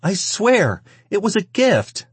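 Man doing a high, frightened, pleading wife. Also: very animated, normal speed, darkish tone, breathy edge, dry recording, heavily acted. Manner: pleading, crying out.

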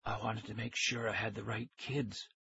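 A man voicing a small, secretive old scientist with a thin, breathy, hushed voice and a measured, earnest way of talking. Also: lowish voice, little pitch movement, dry recording, lo-fi sound, heavily acted. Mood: hushed, insistent.

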